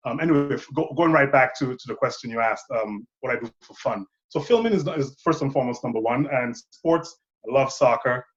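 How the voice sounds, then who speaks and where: A man's voice: medium pitch, animated, a little fast, hardly any pauses, muffled recording. A man in his thirties from Saint Lucia, a filmmaker guest talking thoughtfully and rambling a bit. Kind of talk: casual interview chat.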